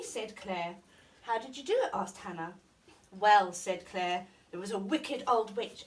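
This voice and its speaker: A woman's voice: on the high side, recorded from a distance, poor audio. A woman reading a children's bedtime story aloud with expression, as a school storytime reader.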